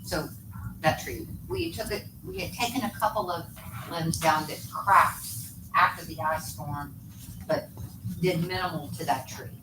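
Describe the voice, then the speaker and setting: A board member explains matters to the room in a friendly, explanatory way, restarting sentences often. The voice sits far from the microphone in a reverberant hall. A steady hiss of room noise runs underneath. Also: medium pitch, measured pace. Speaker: board member responding at public meeting. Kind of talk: casual meeting discussion. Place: echoey meeting room. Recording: echoey, distant, noisy meeting room audio.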